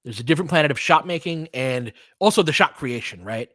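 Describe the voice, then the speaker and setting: A man's voice: a little high, lively intonation, a little fast, bright, forceful tone, no room sound. A man doing casual live basketball commentary, switching between clipped play calls and conversational analysis of the game.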